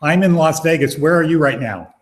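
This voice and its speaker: A man's voice: fairly high pitch, a little fast. A man hosting a casual interview, asking friendly questions with short prompts. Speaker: interview host.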